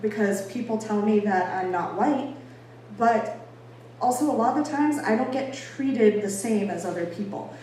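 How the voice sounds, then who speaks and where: A woman's voice: medium pitch, normal speed, dark tone. Echoey American woman giving a talk. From Boston, United States. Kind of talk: informal public talk to an audience. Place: echoey indoor venue with an audience. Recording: echoey room, distant mic, faint noise.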